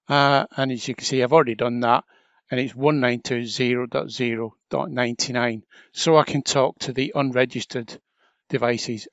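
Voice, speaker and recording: A man narrating a software tutorial step by step in an informal, chatty, explanatory way. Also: mid-range voice, no echo. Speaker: trainer.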